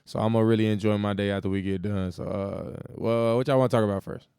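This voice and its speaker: A young man with a hoarse voice, co-hosting a podcast in casual, drawling chat. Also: on the low side, steady tone, fast talker, mellow, dry recording, studio quality. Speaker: podcast co-host.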